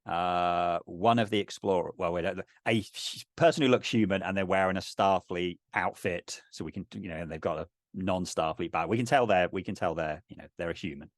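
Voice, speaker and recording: A middle-aged man casually narrating a tabletop game, thinking aloud in a halting way. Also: sits low, no room sound, clean studio sound. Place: indoors on a video call.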